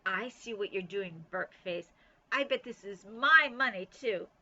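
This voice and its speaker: A woman's voice: mid-range voice, animated, unhurried, pushed and bright, highs cut off. A woman doing a bossy scolding big sister. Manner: scolding, unimpressed.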